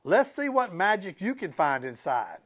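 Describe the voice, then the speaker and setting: A man's voice: high-pitched, sing-song, moderate pace, fairly bright tone, exaggerated voice. A man voicing an encouraging magician in a children's storybook, speaking in an emphatic, coaxing way. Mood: inviting.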